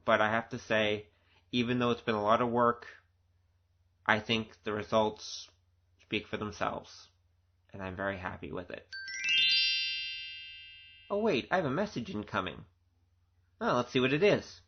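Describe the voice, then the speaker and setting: A man with a fairly deep voice talks through a director's commentary in an informal, conversational way. He sounds earnest as he speaks as a producer. The recording is a little muffled and lo-fi. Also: expressive, a little slow, pauses often, background noise. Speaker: audio drama producer giving director's commentary. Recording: dull, lo-fi recording.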